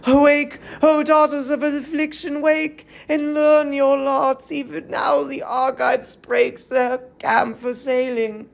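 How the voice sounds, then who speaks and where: A woman performs a desperate captive woman of Troy in a classical tragedy. The voice is fairly high and trembling, declaiming verse with fervent, anguished pleading. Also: flat delivery, slow, creaky, few pauses, full character voice. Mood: alarmed.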